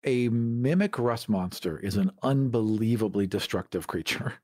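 A man's voice: lowish voice, expressive, normal speed, darkish tone. A man co-hosting a podcast in casual debate, giving his opinions emphatically. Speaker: podcast co-host.

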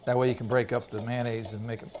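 A man's voice: mid-range voice, level tone, quick pace, noisy background. A middle-aged man, a chef, patiently walking children through a recipe in a home kitchen. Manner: patient, instructive, coaching kids. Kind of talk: cooking demonstration.

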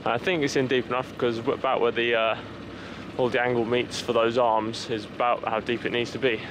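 Young male sailing vlogger, casual on-the-job commentary, action-cam audio with clear water and wind noise. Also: medium pitch, little pitch movement, brisk. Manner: chatty, running commentary while working on a boat. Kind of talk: casual vlog narration. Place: outdoors on a sailboat and boat ramp. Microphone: action camera. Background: boat, water and wind noise.

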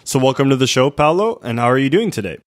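An upbeat man hosting a business podcast. He reads the listing details from a script, then moves into relaxed interview questions in a voice of ordinary middle pitch. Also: fast talker. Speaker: podcast host.

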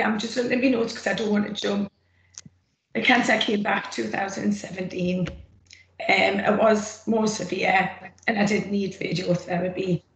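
A middle-aged woman with a rough, gravelly throat, giving an earnest, plain-spoken personal testimony from her notes on a video call. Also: on the high side. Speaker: former smoker speaking at a roundtable. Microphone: computer mic on a video call. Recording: echoey room, faint noise.

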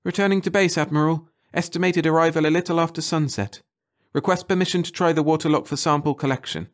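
A man's voice: a little high, quick pace, voice pushed up, close to an everyday voice. A man voicing an eager, earnest female scientist, an aquatic creature, in an audiobook. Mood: formal. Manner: earnest, wonder-struck, pleading. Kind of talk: audiobook character voice.

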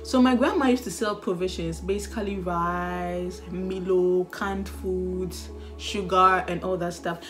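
A woman's voice: fairly low pitch, steady tone, measured pace, a bit bright, soft background music. A young woman with a Ghanaian accent, chatting casually and animatedly as a vlogger, with laughs and sighs. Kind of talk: casual chat.

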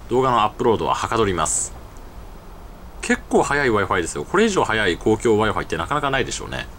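Young guy vlogging on a trip, talks fast n bouncy, explains every little cost, camera mic w faint background noise. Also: mid-range voice, a bit bright. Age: young adult. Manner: chatty, explains costs and rules in detail. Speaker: travel vlogger. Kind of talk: casual travel vlog narration. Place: outdoors at night, then an internet cafe booth.

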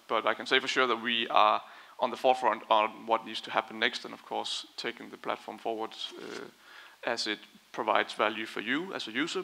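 A man in his thirties answering audience questions on a conference stage, explaining in a measured, diplomatic way. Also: fairly low pitch, gravelly, pushed and bright, low-quality recording. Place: conference stage in a large brick and concrete hall. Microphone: headset.

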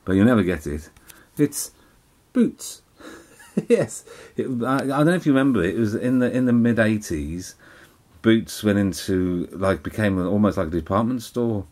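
Deep-voiced rambling English man. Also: lively intonation, moderate pace, a little mellow. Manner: rambling, chatty, many fillers and restarts. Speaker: vlogger. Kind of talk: casual vlog chat to camera. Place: living room at home, on a sofa. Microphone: camera in front, close framing.

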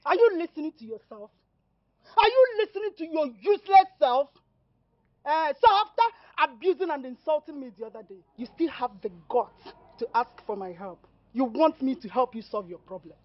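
Woman as indignant sister-in-law, high lively voice, lo-fi sound. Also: normal speed, dry recording. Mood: angry. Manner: scolding, indignant. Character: fiery young woman, scornful and sharp-tongued. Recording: dull lo-fi audio.

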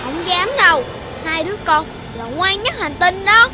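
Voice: very high voice, expressive, moderate pace. An actor voicing an eager, cheeky little boy puppy in a children's cartoon, childlike and exclamatory. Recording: loud music over voice.

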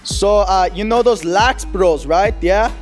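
A man voicing an excitable, cocky puppet sportscaster who rambles in slangy, emphatic comic commentary. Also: sits high, takes it slowly, soft background music. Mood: rambling, earnest. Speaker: sports segment host.

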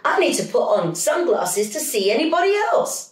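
A woman's voice: high voice, big pitch swings, moderate pace, far from the mic. Woman as a stern male dance master, echoey. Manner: commanding, disapproving. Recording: echoey room with music underneath.

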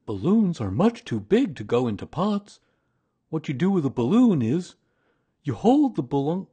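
Storybook toy bear, high voice, swooping intonation, kindly, simple-hearted, excitable. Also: male voice, normal speed, slightly rough, exaggerated voice. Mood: earnest, explaining. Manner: excitable, earnest, exclaiming. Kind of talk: storybook character reading.

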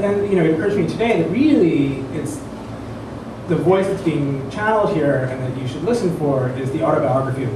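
Echoey, higher-voiced man introducing a reading. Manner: halting, searching for words, digressive. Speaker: host introducing a literary reading. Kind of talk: informal spoken introduction before an audience. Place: indoor event room, at a standing microphone. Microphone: stand microphone, picked up from a distance. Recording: echoey room, distant mic, clear background noise.

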